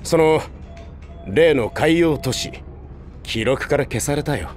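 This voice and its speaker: An actor playing a blunt, insistent young male android rebel who talks roughly and casually. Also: fairly high pitch, animated, slow. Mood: grave. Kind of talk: Japanese audio drama acting. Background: background music.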